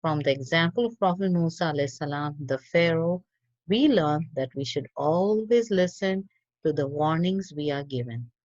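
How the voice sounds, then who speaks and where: A woman reading a religious story for children in an expressive, teacherly way. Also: low voice, moderate pace, dry recording. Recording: dull lo-fi audio.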